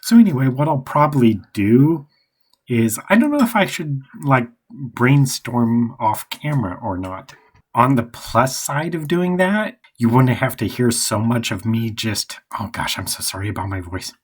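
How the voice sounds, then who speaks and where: A hoarse man who is losing his voice thinks aloud as he outlines a novel, hesitant and rambling. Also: medium pitch, big pitch swings. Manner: hesitant and rambling, many pauses and restarts. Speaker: writer.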